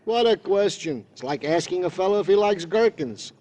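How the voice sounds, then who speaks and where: An actor playing a good-natured, fussy, digressive police sergeant as comic relief in a 1950s radio drama. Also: male voice, high voice, lively intonation, moderate pace, darkish tone.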